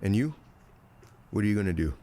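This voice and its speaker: A man's voice: fairly low pitch, animated, brisk, mellow. A man acting a guarded, restless and loyal operative with a wry manner in an audio drama.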